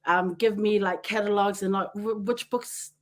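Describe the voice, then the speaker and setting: A woman with a New Zealand accent hosting a podcast, chatty and quick to laugh, often wandering off on tangents. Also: mid-range voice, steady tone. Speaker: podcast host. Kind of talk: casual chat.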